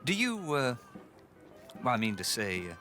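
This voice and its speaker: A genteel, nervous male intellectual in a Western game drama. He hesitates politely in a bright, very animated voice, with faint music behind. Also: mid-range voice, moderate pace. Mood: awkward. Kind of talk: video game cutscene acting, Western drama.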